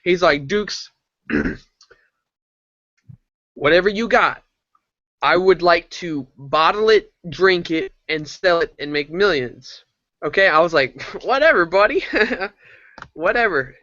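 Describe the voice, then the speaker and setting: A high-energy teenage man who rambles through stories on a live video call. His voice is fairly high and bright, sitting dry and close, with a dull lo-fi call sound. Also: lively intonation, slow pace, long pauses, no echo. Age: teens. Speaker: challenge group member.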